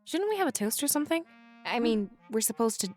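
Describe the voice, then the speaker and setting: A woman's voice: fairly high pitch, very animated, fast, darkish tone, soft background music. A woman playing a dutiful, weary female rebel in a visual novel, earnest and hesitant in her delivery. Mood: shy, friendly.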